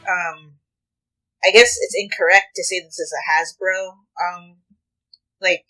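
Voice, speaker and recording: A woman's voice: lowish voice, steady tone, measured pace, bright, forceful tone, faint music behind, rough recording. A woman hosting a reaction video, commenting casually in hesitant, piecemeal phrases.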